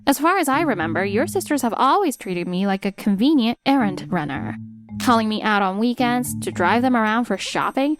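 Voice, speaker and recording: An actress playing a fed-up, sharp-tongued wife in a dramatized manga dub, sarcastic and pointed. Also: female voice, on the high side, sing-song, a little fast. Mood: exasperated, pointed. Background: music.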